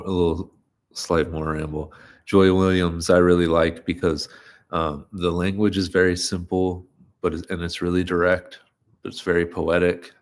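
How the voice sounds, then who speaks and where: A man reading stories aloud on a live stream, chatting loosely between readings, a bit stuffy and nasal. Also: low-pitched. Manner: rambling, relaxed, many fillers. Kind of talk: live-streamed story reading with casual chat.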